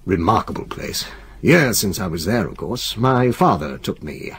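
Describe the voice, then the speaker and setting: A hearty old solicitor in a radio play, chuckling and theatrical. His husky voice leaps around in pitch as he relishes his tale. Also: big pitch swings, moderate pace, heavily acted. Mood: storytelling. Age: older. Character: old jovial solicitor, Mr Bentley. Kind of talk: radio drama acting.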